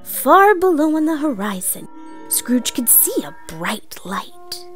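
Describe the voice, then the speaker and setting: A woman's voice: high voice, very animated, slow pace, soft background music, full character voice. A woman playing an earnest, theatrical narrator of a storybook read-along. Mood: dramatic, earnest. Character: earnest theatrical storyteller, comic author-narrator persona played female.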